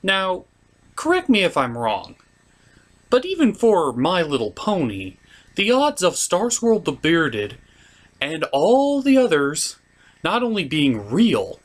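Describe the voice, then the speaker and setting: A man's voice: high voice, wildly swooping pitch, slow. A man giving a scripted comic episode recap in a theatrical manner, as a reviewer and puppeteer. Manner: theatrical, slipping into character voices.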